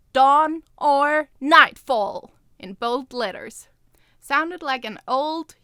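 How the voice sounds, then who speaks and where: A woman's voice: sits high, sharp tone, no room sound, studio quality. A woman co-hosting a podcast, with a playful manner, in casual chat and story reading. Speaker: podcast co-host and co-writer.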